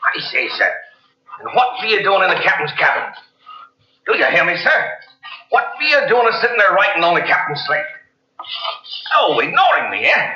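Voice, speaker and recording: An actor playing a frightened, superstitious ship's sailor who talks in rustic dialect, in 1940s radio acting style. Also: male voice, high voice, normal speed, long pauses, husky, heavily acted. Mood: puzzled. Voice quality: rustic dialect, earnest and deferential. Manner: excitable, urgent telling. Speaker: ship's crewman. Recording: lo-fi old radio recording from cassette.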